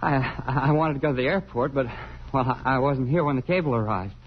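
An actor playing an eager, affectionate college-age son in a 1950s radio drama, speaking haltingly and earnestly. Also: male voice, mid-range voice, close to an everyday voice. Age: young adult. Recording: dull vintage radio, faint noise.

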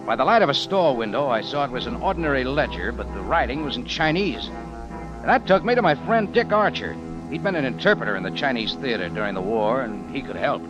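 A man's voice: medium pitch, big pitch swings, a little fast, lo-fi sound, natural voice. An actor playing a hard-boiled, dogged adventurer who narrates his own story in a 1940s radio drama. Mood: tense narration. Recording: old radio broadcast with music behind.

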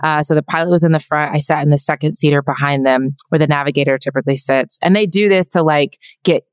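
Low-voiced woman guest, German-born. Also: steady tone, quick pace, smooth, no echo. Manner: matter-of-fact, wry storytelling. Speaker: podcast guest. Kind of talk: casual interview chat.

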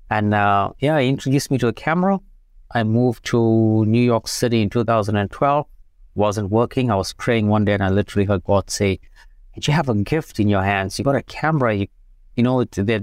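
A man in his forties, from South Africa, recounts his path into photography in an easygoing, conversational way, circling back with fillers like "you know" and repeated words. His voice sits low and sounds dry, close to the mic, with little room around it. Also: dry recording. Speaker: guest, photographer and business owner. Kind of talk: casual interview storytelling.

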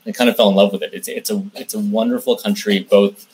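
A man's voice: lowish voice, steady tone, fast, a little mellow, husky, rough recording. A man giving conversational interview answers as a guest, explaining things in detail and often restarting his phrases. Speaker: interview guest, film director and producer.

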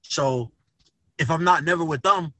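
A man's voice: a little high, a little slow, bright, forceful tone, dry recording. New guy being interviewed, slangy and casual, pretty dry recording. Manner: slangy, peppers talk with "man", "bro" and "you know what I'm saying". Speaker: interview guest, rapper.

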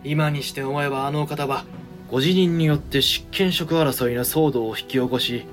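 A male actor playing a grave, wary samurai regent in a historical audio drama, with measured, formal period speech. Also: mid-range voice, faint music behind, natural voice.

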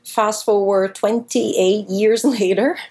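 A woman in her forties from Italy, speaking, answering interview questions in an animated storytelling way with occasional fillers. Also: mid-range voice, takes it slowly. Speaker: guest, professional shark diver.

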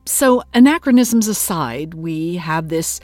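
An actress playing a thoughtful investigator who hosts and interviews in a documentary style, questioning and measured. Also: female voice, on the low side, animated, a little mellow, no echo, faint music behind. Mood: curious, reflective. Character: inquisitive, measured investigator of obscure culture, interviewer. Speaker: host and interviewer.

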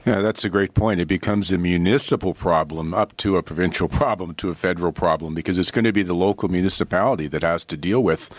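A man's voice: low voice, dry recording. A man speaking as a studio guest on a radio talk show, earnest and emphatic. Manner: earnest, emphatic, quick-talking. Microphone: studio microphone.

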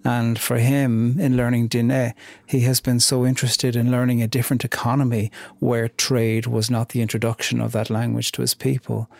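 Gravelly Irish male podcast host. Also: mid-range voice, flat delivery, moderate pace, no echo, clean studio sound. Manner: gentle, attentive, brief affirmations. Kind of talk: interview host conversation.

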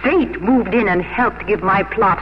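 A woman's voice: mid-range voice, unhurried, close to an everyday voice. An actress playing a shrewd, worldly older society lady and matchmaker, knowing and theatrical, in 1950s radio drama style. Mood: knowing, sly. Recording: muffled, hissy old radio broadcast.

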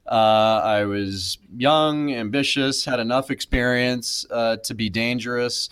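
A man's voice: medium pitch, fairly even intonation, slow, fairly bright tone, clear, resonant tone, no room sound. A man in his thirties, a podcast guest, speaking reflectively with many fillers and restarts. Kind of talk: casual interview.

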